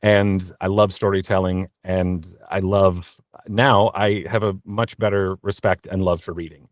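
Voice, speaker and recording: A man's voice: sits low, little pitch movement, unhurried, no echo. Conversational man in a dry, close recording. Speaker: interview guest, voice actor. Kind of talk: casual interview answers, personal storytelling.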